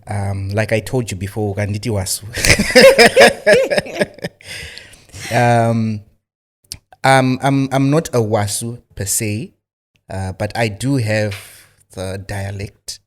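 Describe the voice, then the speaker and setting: A man's voice: fairly low pitch, lively intonation, slow, fairly bright tone. A man from Zimbabwe, a filmmaker guest in a studio interview, talking casually with many fillers and restarts.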